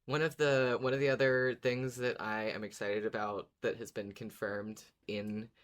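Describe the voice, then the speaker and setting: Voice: mid-range voice, flat delivery, normal speed. A podcast co-host chatting informally in a casual fan discussion, agreeable and thinking aloud. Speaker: podcast co-host discussing a horror audio drama.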